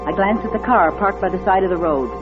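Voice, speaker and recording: A woman's voice: medium pitch, fast talker, lightly acted. An actress playing an anxious, wary woman motorist on the run, narrating in a dramatic 1940s radio suspense style. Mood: uneasy narration. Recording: dull vintage radio recording with music behind.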